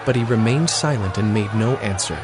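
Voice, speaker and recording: A man's voice: lowish voice, brisk. A man giving solemn, scripted narration of scripture. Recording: loud dramatic music underneath.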